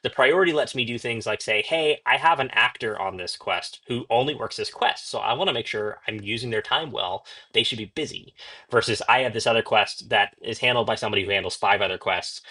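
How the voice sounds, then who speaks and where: A man giving an informal software walkthrough in a conversational, explanatory way. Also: fairly low pitch. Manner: conversational, explanatory, acts out short example requests. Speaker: software demonstrator.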